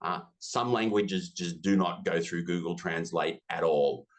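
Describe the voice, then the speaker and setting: A deep-voiced Australian man in his fifties talks casually in an interview. He is chatty and self-deprecating and slips into quick asides. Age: 50s. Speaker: interview guest, business software community founder.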